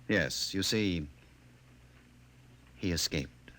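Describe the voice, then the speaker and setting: An actor playing a smooth, controlling official and fiancé in a 1950s radio drama, suave and patronizing. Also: male voice, deep voice, extremely slow, pushed and bright, slight background noise, exaggerated voice. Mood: evasive, condescending. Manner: suave, patronizing, fussily hesitant. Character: smooth, controlling director of emotional security, condescending fiancé. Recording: vintage 1950s radio recording.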